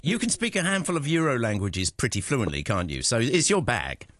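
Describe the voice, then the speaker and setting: A man's voice: mid-range voice, wildly swooping pitch, fairly quick, a bit bright, dry recording, clean studio sound. A man hosting a radio interview, chatty and informal, asking leading questions. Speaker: radio host.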